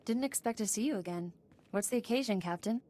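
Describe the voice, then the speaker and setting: Mellow-voiced smuggler woman played by an actress. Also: mid-range voice, fast talker, slight background noise, lightly acted. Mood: wary, surprised. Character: guarded yet flirtatious woman smuggler, warming up. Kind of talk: video game voice acting.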